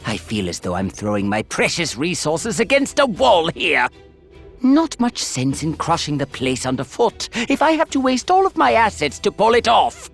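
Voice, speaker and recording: A woman's voice: fairly low pitch, wildly swooping pitch, moderate pace, vocal fry, heavily acted. A woman playing an imperious, scheming alien tyrant in an anime video game, with haughty, grandiose, formal speech. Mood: smug. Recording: music behind the voice.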